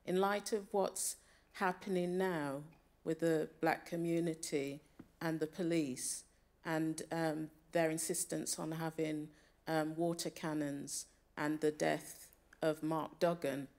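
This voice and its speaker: A woman's voice: sits low, flat delivery, slow, a little mellow. A woman asking a question from the audience at a public discussion, phrasing it earnestly and carefully. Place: theatre auditorium.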